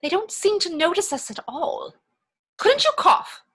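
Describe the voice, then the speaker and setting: A woman's voice: very high-pitched, big pitch swings, a little slow, a bit bright, exaggerated voice. A woman playing a haughty, dignified young society lady with formal, emphatic delivery in a comic stage play reading. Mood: indignant. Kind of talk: dramatic reading of Victorian comedy play.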